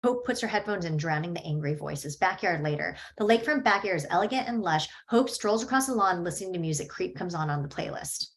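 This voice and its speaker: A woman's voice: fairly low pitch, expressive, fast, hardly any pauses. Woman reading stage directions.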